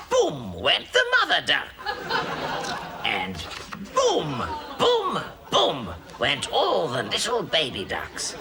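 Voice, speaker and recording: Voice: mid-range voice, exaggerated voice. A performer playing a children's storyteller, telling a grim tale with exaggerated, sing-song relish. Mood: gleeful.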